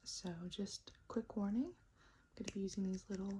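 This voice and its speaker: A woman doing a whispered ASMR roleplay in a breathy, mostly unvoiced voice, softly repeating little words and phrases as she explains each step. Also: mid-range voice, moderate pace, a little hiss or hum. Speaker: ASMR creator playing a robot repairer.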